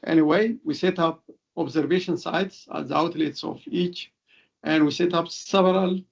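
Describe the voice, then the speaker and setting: A man with an Ethiopian accent giving an explanatory webinar lecture as a researcher, speaking haltingly with frequent hesitations. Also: a little high, steady tone, gravelly. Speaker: researcher and professor giving an expert talk. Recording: dull, lo-fi webinar audio.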